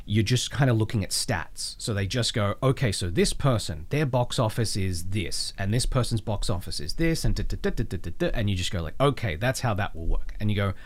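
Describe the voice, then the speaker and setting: A man's voice: fairly low pitch, animated, brisk, hardly any pauses. A man with an Australian accent telling stories casually as an interview guest, wry and jokey, often acting out imagined bits of dialogue. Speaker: podcast guest, voice actor. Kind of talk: casual conversational storytelling.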